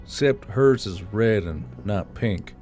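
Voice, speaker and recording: A man playing a cool, unflappable gang leader who speaks in a slow, low drawl with quiet menace. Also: expressive, soft background music.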